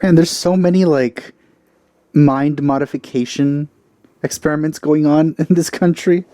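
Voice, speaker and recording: A man with a fairly high but mellow voice comments casually on a game. The sound is dry and close, like a let's play host chatting along. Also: steady tone, measured pace, dry recording, faint music behind.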